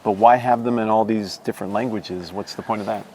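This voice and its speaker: A man's voice: on the low side, fairly even intonation, brisk, dark tone, a little hiss or hum. A man hosting a show, asking curious, reflective questions while being shown around a monastery garden. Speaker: host interviewing a monk. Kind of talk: curious casual interview.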